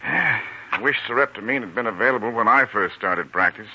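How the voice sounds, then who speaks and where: A man's voice: lowish voice, moderate pace, sharp tone, slightly rough, exaggerated voice. An actor playing a kindly, world-weary older senior doctor in a 1940s radio drama, musing and hesitant in a fatherly way. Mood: warm, wistful. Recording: dull old radio recording.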